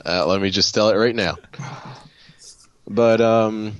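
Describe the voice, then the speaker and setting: A man from Haiti telling a personal story casually, in a halting way with repeated words. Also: lowish voice, fairly even intonation, dull audio, slight background noise. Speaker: guest photographer and designer.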